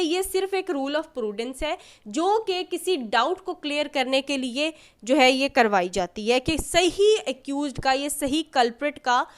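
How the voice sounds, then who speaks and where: A woman with a Pakistani accent giving an explanatory lecture, teaching in a didactic way and often restating her points. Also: high voice. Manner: didactic, repeats and rephrases points. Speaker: law lecturer. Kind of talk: explanatory lecture mixing Urdu and English terms.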